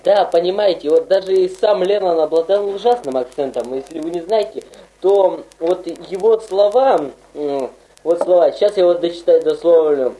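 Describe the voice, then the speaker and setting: A woman playing a mock lecturer in a comedy skit, talking haltingly with many fillers. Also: fairly low pitch, mellow, rough recording. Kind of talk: parody radio program.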